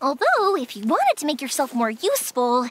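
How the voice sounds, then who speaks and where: A woman's voice: sits high, wildly swooping pitch, moderate pace. A woman voicing a bubbly, playful, spirited young female fireworks maker in an anime game, with exuberant delivery. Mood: scolding, teasing. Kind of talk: anime game character voice acting. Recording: loud music under the voice.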